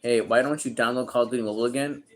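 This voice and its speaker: Thirty-something guy streaming, cussing and joking with chat, yells now and then. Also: mid-range voice, fairly even intonation, rapid-fire. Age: 30s. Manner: profane, joking, loud outbursts. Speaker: livestreamer reading chat. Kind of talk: casual crude livestream chat.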